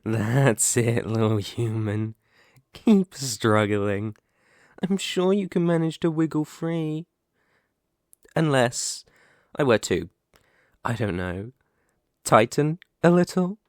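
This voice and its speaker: A man's voice: mid-range voice, lively intonation, a little mellow, no echo, studio quality. A man playing a sadistic, predatory lamia in an ASMR horror roleplay, taunting and menacing. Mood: gloating, taunting. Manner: taunting, menacing, slow deliberate delivery with gloating lilts. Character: sadistic, predatory male lamia, half-snake monster toying with prey.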